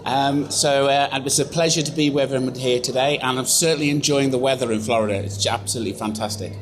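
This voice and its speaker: An English man giving a chatty, self-deprecating live software demonstration to a conference audience, with lots of fillers. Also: mid-range voice, fairly even intonation, fast talker, noisy background. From England. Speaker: software presenter. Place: conference room.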